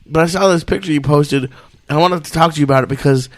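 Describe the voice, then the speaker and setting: A man's voice: a little high, fast. A man guesting on a podcast as a comedian, talking casually with lots of stammers and nervous, self-deprecating restarts.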